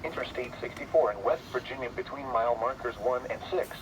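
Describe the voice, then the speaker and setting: A man's synthetic-sounding voice reading a formal, measured emergency weather bulletin through a radio speaker. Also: mid-range voice. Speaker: weather radio warning announcer. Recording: noisy weather radio playback in a room.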